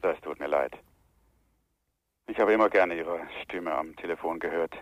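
An actor playing a guarded, wry former boss in a radio crime drama, speaking in a dry manner. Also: male voice, sits low, normal speed, dry recording, close to an everyday voice. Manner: dry, guarded, slightly sardonic. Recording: dull, band-limited sound.

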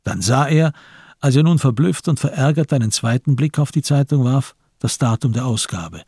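A man's voice: mid-range voice, animated, moderate pace, warm tone, husky, no echo, clean studio sound. A man narrating a radio crime drama in scripted, literary prose.

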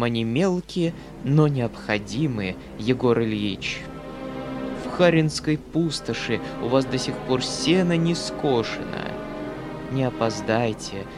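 Pompous, slow-speaking sanctimonious windbag. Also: male voice, mid-range voice. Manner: haughty, preachy, theatrical, repetitive. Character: pompous sanctimonious man, shrieking self-righteous hanger-on. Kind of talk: dramatic audiobook character acting.

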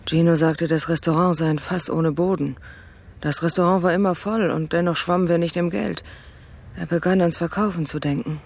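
Husky, troubled widow. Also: female voice, on the low side, steady tone, moderate pace. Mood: troubled, pensive. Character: troubled widowed restaurant owner, a woman confiding in her cousin. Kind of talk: naturalistic radio drama dialogue.

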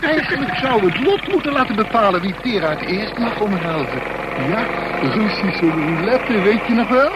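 Gleeful male villain, very high voice, swooping taunts, mock-polite, radio drama, loud background noise. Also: big pitch swings, moderate pace. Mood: gleeful mockery. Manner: taunting, mock-polite, sing-song repetitions. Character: mocking, theatrical male gang leader, menacingly playful villain. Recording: noisy old radio play recording.

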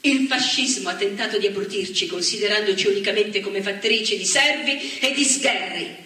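A woman's voice: mid-range voice, moderate pace, bright, forceful tone, lightly acted. An actress playing an impassioned anti-fascist woman politician, giving a solemn, emphatic speech on a theatre stage. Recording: echoey theatre stage, far from mic.

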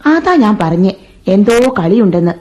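A woman playing Meenakshi, a sharp-tongued, gossiping housemaid, in a radio play. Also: medium pitch, very animated, moderate pace, close to an everyday voice. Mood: gossipy. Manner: gossipy, wry, colloquial. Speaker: radio drama actor. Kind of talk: 1980s Indian radio play acting. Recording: dull old radio recording with faint music.

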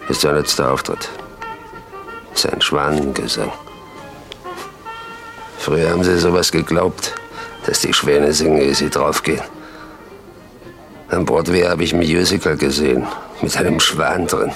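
An actor playing a sardonic, musing gangster in a crime radio drama, with a gravelly voice. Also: male voice, a bass voice, a little slow, long pauses. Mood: wry musing. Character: sardonic, musing male gangster giving orders. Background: music.

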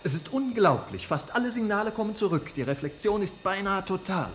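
A male scientist speaks in a raised, lightish pitch in a 1950s German radio play. He is excitable and plain-spoken, stressing his findings with emphasis. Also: fairly quick, slight background noise. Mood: amazed.

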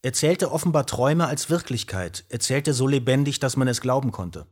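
An actor playing a clinician reading patient notes in clipped, husky phrases over music in a radio play. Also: male voice, medium pitch, expressive, moderate pace. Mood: detached. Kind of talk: scripted record reading.

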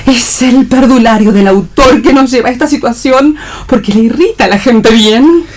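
A woman's voice: fairly high pitch, moderate pace, a little mellow, lightly acted. A woman playing an indignant, snobbish, protective mother in a radio play reading.

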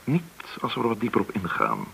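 An actor in a radio play as a courteous, probing government agent, explaining things with some hesitation. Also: male voice, lowish voice, huge pitch swings, fast talker, vocal fry, a bit bright, husky, heavily acted. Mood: grave, explaining. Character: polite, probing male government agent recruiting an informant.

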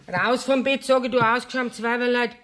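A woman playing a sharp-tongued, middle-aged working-class landlady with a Bavarian accent in a radio play. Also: fairly high pitch, steady tone, normal speed, close to an everyday voice. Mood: scolding. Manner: scolding, blunt. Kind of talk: 1970s German radio play acting in dialect.

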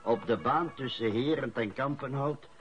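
A man's voice: medium pitch, normal speed, soft background music, close to an everyday voice. An actor with a Flemish accent playing a precise, slightly impatient local man reading the newspaper aloud in a radio drama. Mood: matter-of-fact. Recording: dull, lo-fi radio recording.

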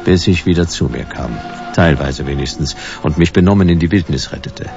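Gravelly, low-voiced world-weary detective. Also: male voice, brisk, muffled recording, over music. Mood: laconic narrating. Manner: laconic, dry, sardonic. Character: world-weary, wry hard-boiled male private detective. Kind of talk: German radio play noir acting and first-person narration.

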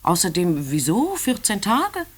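An actress playing the sly, teasing wife of a police chief, coquettish and needling, in a 1950s German radio play. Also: female voice, mid-range voice, huge pitch swings, measured pace, slightly rough, heavily acted. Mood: teasing, haughty.

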